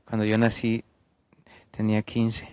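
A man from Colombia's northern coast, with a Colombian coastal Spanish accent, a missionary preacher answering an interviewer in a measured way. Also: on the low side, monotone, darkish tone, no echo. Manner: slow, measured.

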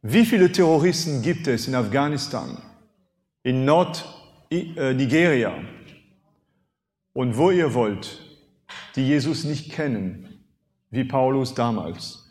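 A man's voice: mid-range voice, slow, long pauses. French-native preacher in his fifties, hall reverb. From France. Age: 50s. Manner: animated, rhetorical questions, emphatic swings in loudness. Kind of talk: sermon.

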